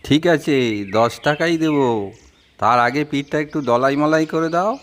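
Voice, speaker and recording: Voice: medium pitch, moderate pace, close to an everyday voice. An actor playing an indulgent, teasing old grandfather in a cartoon. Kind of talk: cartoon voice acting.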